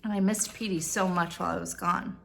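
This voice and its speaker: A woman's voice: fairly low pitch, steady tone, fairly quick, creaky, a little hiss or hum. A woman in her thirties talking casually to camera in a vlog, chatty and bubbly with a slightly congested sound. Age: 30s. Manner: chatty, bubbly, self-deprecating asides. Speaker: vlogger. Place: out and about, car and home.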